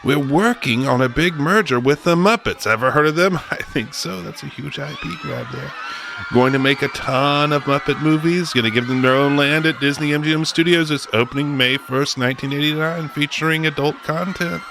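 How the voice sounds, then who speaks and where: A man playing a boastful, excitable company boss given to wistful self-pity, theatrical and rambling in a comedic radio drama. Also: on the high side, normal speed, noisy background, lightly acted. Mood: boastful, excited.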